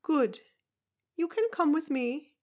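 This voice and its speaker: A woman's voice: high voice, takes it slowly, pitched above the performer's own voice. A woman voicing a tiny, brusque foreign herbalist woman who speaks in clipped broken English with a foreign accent. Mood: gruff, pushy. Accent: foreign, unplaced.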